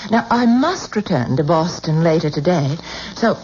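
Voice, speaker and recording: An actress playing a determined, frightened wife in a 1970s radio drama, urgent and pressing. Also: female voice, fairly low pitch, lively intonation, normal speed, a little mellow, lightly acted. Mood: coaxing. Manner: urgent and anxious, pressing hard. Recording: dull old radio broadcast with faint hiss.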